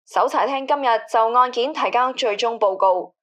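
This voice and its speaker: A composed female newsreader acted by a woman with a fairly high voice. She delivers a scripted bulletin in a formal, measured way, as in a radio drama. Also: fairly bright tone, close to an everyday voice. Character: composed female television news anchor reading a bulletin. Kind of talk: formal scripted news reading.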